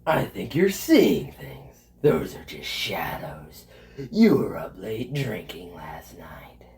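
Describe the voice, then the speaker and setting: A male actor as a scheming city officer in a Bible audio drama. He speaks slowly and with sardonic menace, in a deep voice full of creak. The delivery is scornful and swoops in pitch. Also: very animated, a little mellow, heavily acted. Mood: mocking. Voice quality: gravelly, low.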